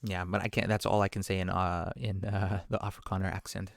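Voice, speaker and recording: A man's voice: low voice, level tone, brisk, creaky, no room sound, studio quality. A man talking on a podcast in a loose, rambling monologue, self-deprecating and full of conversational asides. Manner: conversational, many fillers and asides. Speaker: podcast speaker. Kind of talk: casual monologue.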